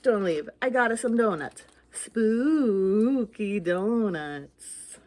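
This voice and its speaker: A woman's voice: mid-range voice, animated, very slow, darkish tone. A woman voicing a jumpy, bluffing little ghost in a children's picture book, theatrical and full of anxious questions. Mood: indignant, pleading.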